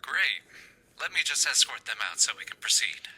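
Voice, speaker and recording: A man's voice: mid-range voice, animated, moderate pace, bright, forceful tone, low-quality recording. Police detective acted by a man for roleplay audio: businesslike and polite, varied melody, faint noise behind. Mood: courteous, businesslike.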